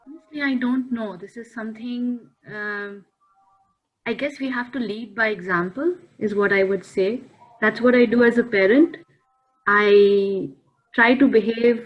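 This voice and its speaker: Woman in her 30s, earnest and measured, muffled video call audio. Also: mid-range voice. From India. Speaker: panel guest. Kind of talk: reflective talk-show discussion.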